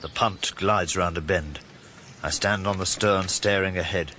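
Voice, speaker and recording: A man's voice: low voice, fairly even intonation, unhurried, pushed and bright, slight background noise. An actor playing a vengeful working-class man with a London accent, intense and poetic, in a first-person radio drama. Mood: grim narration. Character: brooding, vengeful working-class man narrating his own revenge. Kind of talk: first-person dramatic narration with heated dialogue.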